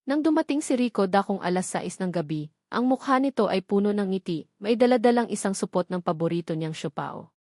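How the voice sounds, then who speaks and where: A Filipino woman narrates a scripted romantic drama. Her voice sits at a middle pitch, and the recording is dry, with very little room sound. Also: expressive, a little fast, a little mellow, no room sound. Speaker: story narrator.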